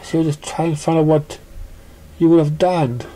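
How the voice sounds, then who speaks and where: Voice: medium pitch, steady tone, normal speed, dark tone, pitched above the performer's own voice, close to an everyday voice, poor audio. A narrator doing a timid, stammering mare. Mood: timid, apologetic. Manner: hesitant stammer. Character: shy, timid, stammering female pony.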